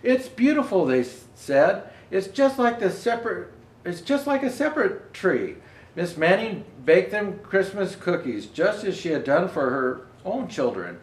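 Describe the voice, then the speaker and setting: A man's voice: sits high, sing-song, a little slow. Man, storybook reading for children, very varied melody, stumbling delivery with show-and-tell asides, echoey room sound. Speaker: storyteller presenting as Santa Claus.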